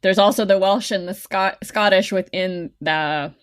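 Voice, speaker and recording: Woman, podcast co-host, casual explainer, mid pitch. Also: measured pace, clear, resonant tone. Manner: explanatory, loose and chatty. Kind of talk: casual chat.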